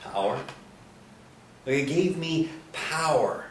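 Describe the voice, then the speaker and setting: A man's voice: fairly high pitch, expressive, takes it slowly. A man playing a disillusioned con artist who posed as a priest, in a staged play reading. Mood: defiant confession. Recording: roomy, distant mic, faint noise.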